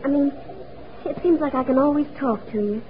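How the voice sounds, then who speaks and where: A woman's voice: sits high, fairly quick, a little hiss or hum, lightly acted. An actress playing a tired, kind eighteen-year-old girl, earnest and tender, in an old radio drama. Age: young adult. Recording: tinny lo-fi radio recording.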